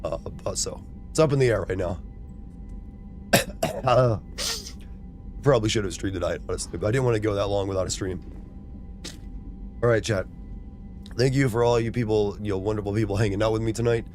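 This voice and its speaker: A man streaming a video game, chatting casually to his viewers with a hoarse voice. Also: lowish voice, a little fast, long pauses, faint music behind. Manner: relaxed, rambling.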